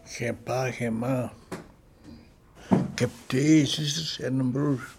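Gravelly, halting man. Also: medium pitch, fairly even intonation, noisy background. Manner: halting, short broken phrases. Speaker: care home resident. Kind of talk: interview answers.

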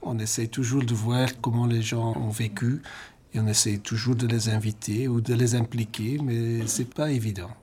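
A man's voice: mid-range voice, flat delivery, normal speed, darkish tone, slight background noise. A man on the staff of a care home, relaying residents' answers in a plain, explanatory way with hesitant fillers. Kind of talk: interpreting between French and Dutch.

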